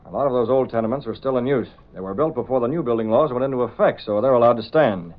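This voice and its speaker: An actor playing a calm, kindly newspaper publisher in old radio drama, measured, courteous and assured. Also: male voice, mid-range voice, lightly acted. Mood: measured, thoughtful. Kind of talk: 1930s-40s radio drama acting. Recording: muffled old radio recording.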